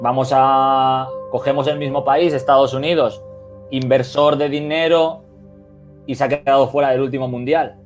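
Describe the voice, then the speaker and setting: A man's voice: mid-range voice, steady tone, normal speed, faint music behind. A man with a Spain Spanish accent, a football coach answering interview questions over a video call, reflective and emphatic, with many restarts and "eh" fillers.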